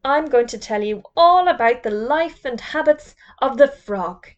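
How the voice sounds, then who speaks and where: Storybook figure: a cheeky, bragging schoolboy, lively and raised, voiced by a woman. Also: sits high, unhurried, highs cut off, voice pushed up. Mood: boastful. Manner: smug, chatty and boastful. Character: cocky, boastful schoolboy fresh from being a frog.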